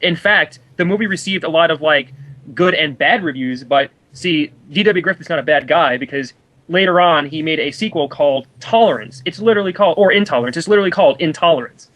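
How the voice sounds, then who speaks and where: A man's voice: a little high, fairly quick, a bit bright, poor audio. A man giving casual film commentary as a co-commentator, argumentative and rambling in manner.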